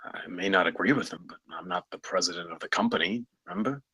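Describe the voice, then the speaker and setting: A man playing a blunt, challenging company executive in a screenplay table read, with a rough voice and a brisk, commanding manner. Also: deep voice, big pitch swings, sharp tone, full character voice, rough recording.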